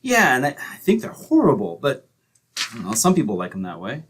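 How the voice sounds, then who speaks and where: A man's voice: mid-range voice, wildly swooping pitch, fairly quick, a bit bright, slight background noise. A man acting a blunt, grudging Christmas tree seller in old-time radio comedy. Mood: blunt, unimpressed. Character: curt, unbudging male Christmas tree seller.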